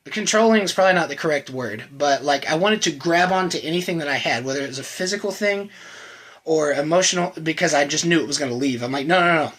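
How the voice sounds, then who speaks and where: A man in his thirties giving a personal testimony to camera, earnest and conversational, often trailing off quietly at the ends of lines. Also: on the high side, quick pace. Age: 30s. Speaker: channel host sharing his recovery story.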